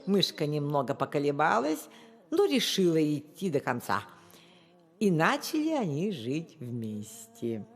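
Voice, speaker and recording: A woman telling a children's fairy tale as an expressive storyteller, recorded with long reverb. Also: sits low, a little slow.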